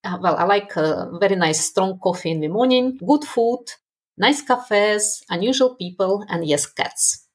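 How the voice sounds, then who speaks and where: A woman in her forties from Russia with a strong Russian accent, a guest in a conversational interview, speaking haltingly with many fillers and easy laughter. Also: mid-range voice, lively intonation, breathy edge. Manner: self-deprecating, laughs easily, halting with frequent fillers. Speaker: podcast guest.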